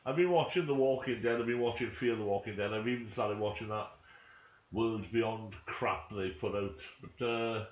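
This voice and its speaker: Male gamer, low voice, joking sweary banter, roomy echo. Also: normal speed. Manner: jokey, sweary, repeats catchphrases. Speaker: streamer playing a role-play game. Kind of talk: casual gaming chat with banter. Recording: muffled, faintly noisy, echoey room.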